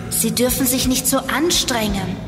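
An actress playing a plucky, concerned young junior sleuth in a children's audio drama, earnest and full of questions. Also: female voice, fairly high pitch, moderate pace, lightly acted. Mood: concerned, curious. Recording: echoey reverberant hall, music underneath.

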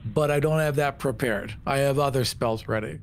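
A man's voice: mid-range voice, moderate pace, a little hiss or hum. A man playing a tabletop game, chatting casually and thinking his moves through aloud. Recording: music underneath. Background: background music.

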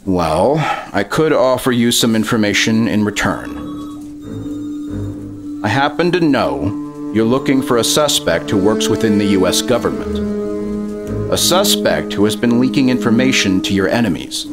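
An actor playing a sly, self-important government official in a retro 1950s radio drama, smooth-talking and insinuating. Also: male voice, fairly low pitch, expressive, moderate pace, music in the background, close to an everyday voice.

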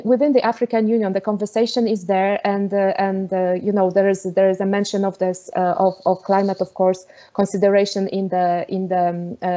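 A woman from Poland with a Polish accent, giving an online lecture over a video call, often pausing with fillers and restarts. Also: medium pitch, dark tone, muffled recording. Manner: hesitant, many fillers and restarts. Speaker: webinar speaker.

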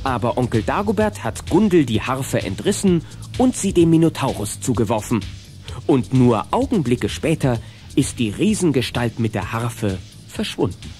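A man's voice: mid-range voice, very animated, normal speed, darkish tone, faint music behind, lightly acted. A man narrating a children's radio play in a dramatic storytelling manner.

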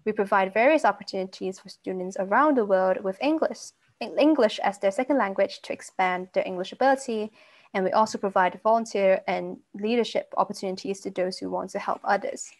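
A woman's voice: mid-range voice, fairly quick. Teenage girl, webinar host, polite, partly scripted, video call. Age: teens. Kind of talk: hosting a webinar. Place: home room on a video call. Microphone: laptop mic on video call.

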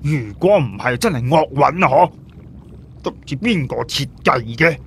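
A man's voice: on the high side, animated, moderate pace, a little hiss or hum. A man voicing a brash, boastful tough guy for an audiobook, blunt and slangy with plenty of exclamation. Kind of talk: audiobook character voice.

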